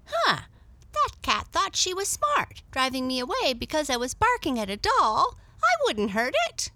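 A woman voicing an excitable male dog that barks and howls in a shrill, loud voice. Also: unhurried, no echo, exaggerated voice. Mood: indignant. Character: frantic yapping male dog, easily scared.

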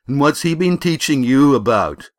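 A man voicing a loud, bullying, heavy-set village boy in a storybook, with rough, taunting speech. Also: medium pitch, expressive, normal speed, no echo, pitched above the performer's own voice, close to an everyday voice. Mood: jeering. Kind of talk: storybook character voice.

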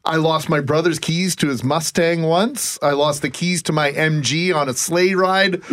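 A man's voice: on the high side, moderate pace, background noise. A man co-hosting a radio show in casual banter.

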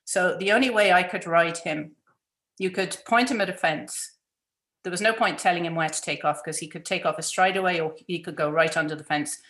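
A woman's voice: on the low side, fairly quick, fairly bright tone. A woman hosting a casual interview, telling her own story. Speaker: interview host. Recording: echoey room.

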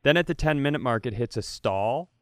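A man's voice: mid-range voice, animated, brisk, dry recording, clean studio sound. A man co-hosting a comedy podcast, telling casual comic stories with profane, jokey banter.

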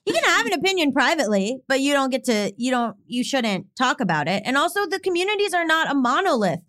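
A woman co-hosting a podcast, speaking in a slightly high voice. Her delivery is fast and intense, so her points come out sounding like an argument. The tone is casual chat among friends. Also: sing-song, a bit bright. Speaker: podcast co-host.

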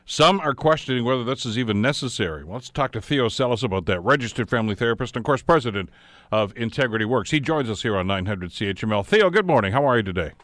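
A man's voice: mid-range voice, expressive, fast, a bit bright, no room sound. A man hosting a talk radio show, interviewing with frequent restarts and fillers.

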